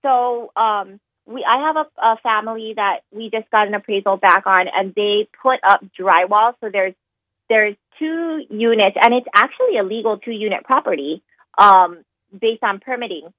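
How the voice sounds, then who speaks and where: A woman calls in over a tinny phone line to explain loan rules. Her talk is conversational and loose, full of ums and likes. Her voice is mid-pitched and dry. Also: normal speed, no echo. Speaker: mortgage lender guest.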